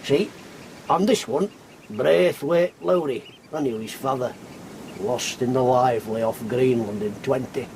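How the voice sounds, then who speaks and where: An actor playing a garrulous, cynical sailor of nearly a hundred, with a Yorkshire accent and folksy rambling asides, in a period radio drama. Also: male voice, mid-range voice, unhurried, a little mellow, a little hiss or hum, close to an everyday voice, rough recording. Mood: cynical glee. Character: ancient garrulous old sailor, blunt and cynical.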